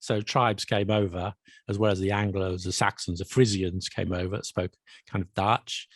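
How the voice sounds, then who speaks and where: A man hosting a casual language podcast, chatty and quick with asides and restarts. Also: deep voice, level tone, no room sound, clean studio sound. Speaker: podcast host and English teacher. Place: Paris.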